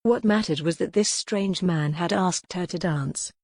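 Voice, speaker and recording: A woman's voice: lowish voice, a little slow, a little mellow, breathy edge, no echo, clean studio sound. A woman reading scripted narration from a historical romance novel as an audiobook narrator.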